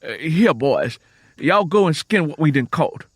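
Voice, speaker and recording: A man's voice: fairly high pitch, big pitch swings, measured pace, husky, dry recording, voice pushed up, heavily acted. A man acting a desperate sharecropper father, raised voice. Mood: ashamed. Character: proud, desperate, ashamed poor sharecropper father. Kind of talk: dramatic audiobook character voice.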